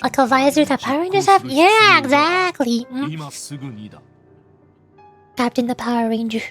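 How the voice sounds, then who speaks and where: High-pitched woman streaming a video game. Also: expressive, measured pace, a bit bright, faint music behind. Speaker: streamer, VTuber. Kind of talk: casual game stream commentary with live line reading.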